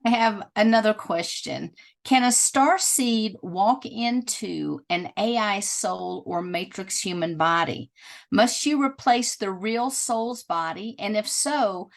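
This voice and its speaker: A woman moderating a conference Q&A, reading out audience questions in a polite, measured way. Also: medium pitch, gravelly.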